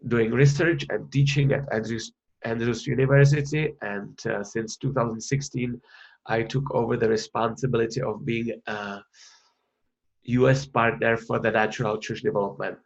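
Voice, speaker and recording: A man's voice: medium pitch, fairly even intonation, normal speed, creaky, highs cut off. A man from the Republic, presenting research in an online webinar, hesitant with many fillers and restarts. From Czech Republic.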